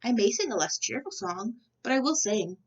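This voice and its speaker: A woman's voice: a little high, animated, moderate pace, muffled recording, voice pushed up. Woman voicing a plucky, stubborn little rooster. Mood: cheerful defiance. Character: plucky stubborn little singing rooster, male, cheerful and brave. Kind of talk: storybook character voice.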